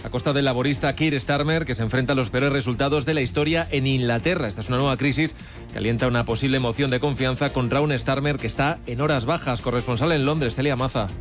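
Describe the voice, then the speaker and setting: A man reading the news as a radio anchor, formal and measured. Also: mid-range voice, soft background music.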